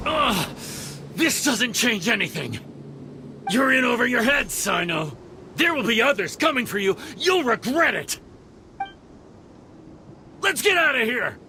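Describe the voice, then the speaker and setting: A man's voice: extremely high pitch, very animated, measured pace, long pauses, bright, forceful tone, slight background noise, exaggerated voice. A man playing a smug, menacing male mercenary in a video game, taunting and theatrical. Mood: angry, defeated. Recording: game audio with background music. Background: game music.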